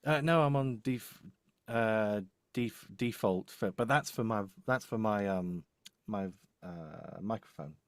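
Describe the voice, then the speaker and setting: A man with a fairly low voice talks casually on a video call. He speaks haltingly and often mutters to himself, like someone thinking aloud at his computer. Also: dry recording, studio quality. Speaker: co-host and audio producer. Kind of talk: casual live troubleshooting chat. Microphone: microphone on a video call.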